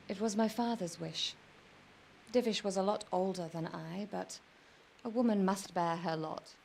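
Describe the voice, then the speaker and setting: Woman acting gentle medieval noblewoman, creaky voice. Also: mid-range voice, slight background noise, lightly acted. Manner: sympathetic, measured, devout. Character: gentle, pious medieval noblewoman married to an older lord. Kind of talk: dramatic game cutscene acting.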